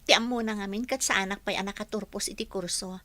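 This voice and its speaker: An actress playing a polite, eager young housekeeper in a radio drama. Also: female voice, medium pitch, steady tone, fast talker, sharp tone, no room sound, natural voice. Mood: earnest. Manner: polite, deferential.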